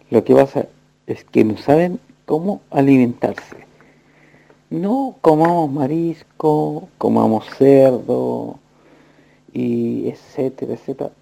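Man, extremely gravelly voice, very dark, slow, explaining scripture. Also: medium pitch, lively intonation.

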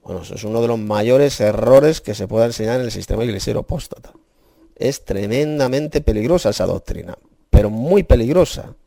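A man's voice: mid-range voice, expressive, a little fast, vocal fry, no room sound, clean studio sound. A man, teaching Bible prophecy in an online chat room, speaking emphatically and asking rhetorical questions. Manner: emphatic, warns forcefully, asks rhetorical questions.

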